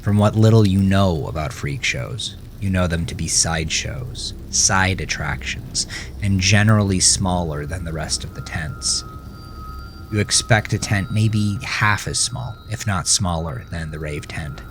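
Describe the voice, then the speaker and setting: A man narrating a horror story with a grave, deliberate, suspenseful delivery. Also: low voice, background noise. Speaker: author and narrator of horror podcast. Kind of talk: dramatic second-person horror story narration.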